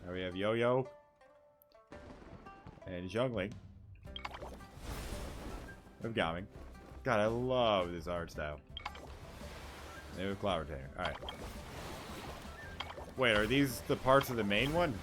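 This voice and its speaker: A man giving casual let's play commentary, thinking aloud in fragments as he plays. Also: on the low side. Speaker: gaming streamer.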